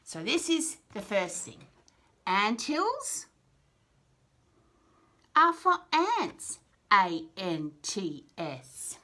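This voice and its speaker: An Australian woman reads picture books to very young children. Her voice is bright and swings widely in pitch, with a slow, sing-song delivery. She keeps turning to the child with questions and chatty asides. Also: huge pitch swings. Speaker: children's picture book read-aloud host.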